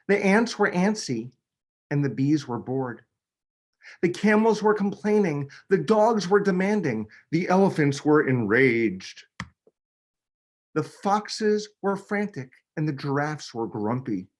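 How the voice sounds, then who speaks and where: A man, a children's book author, reading a picture book aloud with expressive, dramatic emphasis. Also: a little high, takes it slowly. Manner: expressive, dramatic delivery with emphasis on key words.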